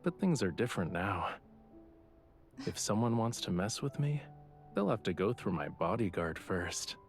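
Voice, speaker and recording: Low-voiced, softly teasing young artist. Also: male voice, sing-song, moderate pace, faint music behind. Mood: playful, boastful. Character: young male artist, teasing, self-assured yet quietly vulnerable. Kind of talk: romance game voice acting.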